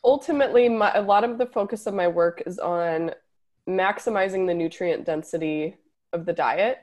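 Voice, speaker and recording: A woman dietitian, a podcast guest with a slightly hoarse voice, explaining fluently. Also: fairly low pitch, moderate pace, a little mellow. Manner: fluent, explanatory, occasional laughs. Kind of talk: podcast interview.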